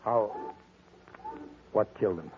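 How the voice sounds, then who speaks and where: A man's voice: lowish voice, slow pace. A man acting an anxious, desperate client, nervous and pleading, in a 1940s radio drama. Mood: uneasy. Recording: muffled, noisy old radio recording.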